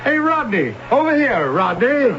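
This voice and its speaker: Affable family man, animated intonation, hospitable, radio drama, muffled vintage broadcast. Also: very high voice, a little slow, heavily acted. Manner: chatty, hospitable. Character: affable married man welcoming an orphan boy. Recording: muffled old radio recording, background noise.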